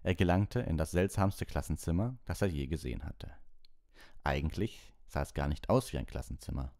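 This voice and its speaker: A man with a very deep voice narrates a novel. His reading is measured and expressive, and the recording is dry, free of room sound. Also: creaky, no room sound. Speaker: audiobook narrator. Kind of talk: scripted literary narration.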